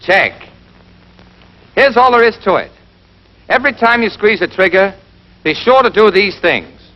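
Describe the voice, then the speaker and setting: A man playing a brisk, exacting American army rifle instructor in a scripted 1940s training film, drilling and quizzing with emphasis. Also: sits high, sing-song. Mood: brisk, instructive. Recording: dull band-limited 1940s film soundtrack.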